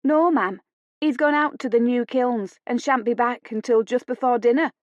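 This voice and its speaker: Woman acting a high-voiced, apologetic young maid. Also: normal speed, a little mellow, dry recording, muffled recording, lightly acted. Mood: deferential. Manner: deferential, flustered. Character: timid, apologetic young maid, respectful and eager.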